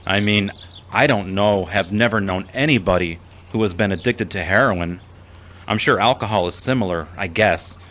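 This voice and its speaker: A man playing a gentle, reserved, long-suffering character in an audio drama, with a measured, formal manner. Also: on the low side, slight background noise, lightly acted. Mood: hesitant, thoughtful. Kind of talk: scripted audio drama acting.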